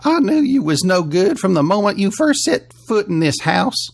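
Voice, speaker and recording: A man voicing an earnest, excitable country contestant in an audio story, with a thick drawl and plain-spoken manner. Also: high voice, normal speed, creaky, pitched above the performer's own voice, full character voice. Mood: disgusted.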